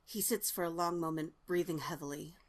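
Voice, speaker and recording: A woman's voice: lowish voice, fairly even intonation, brisk. A woman narrating stage directions from a script at a table read.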